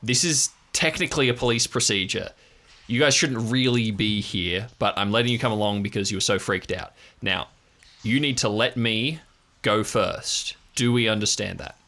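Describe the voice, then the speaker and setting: A man's voice: on the low side, normal speed, pushed and bright. A man playing a cautious, exasperated park ranger in charge, giving firm instructions in an improvised audio drama. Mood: firm, serious. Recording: faint background noise and ambience.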